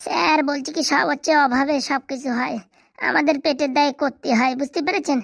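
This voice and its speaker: A performer with a high voice playing a cheeky, brash schoolboy in a Bengali comedy cartoon, with a colloquial Bengali accent. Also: fairly even intonation, a little fast, creaky, a bit bright, no echo, clean studio sound. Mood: reassuring.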